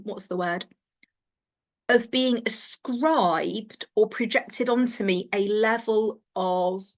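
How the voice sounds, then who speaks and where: A middle-aged woman with an English accent and no strong regional accent, leading a counselling training workshop and talking thoughtfully, often pausing to search for her words and restarting her sentences. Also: mid-range voice. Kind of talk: live training workshop talk.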